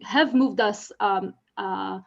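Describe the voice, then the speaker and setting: A woman's voice: mid-range voice, slow, creaky, muffled recording. A woman from Israel with an Israeli accent, giving an earnest conference presentation full of fillers and restarts. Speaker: conference speaker.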